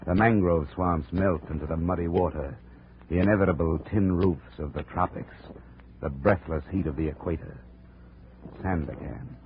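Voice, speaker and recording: An actor playing a tough, blunt American insurance investigator in a 1950s radio drama, terse and no-nonsense, with an American accent. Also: male voice, sits low, moderate pace. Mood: weary narration. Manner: terse, no-nonsense, hard-boiled. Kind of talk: 1950s radio detective acting. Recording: muffled, faintly noisy vintage radio recording.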